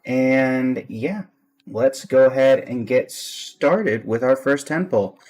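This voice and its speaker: A man's voice: medium pitch, slow, a little mellow. A man giving casual live-stream game commentary as the host, with a dry, resigned way of talking. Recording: music playing behind the voice. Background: background music.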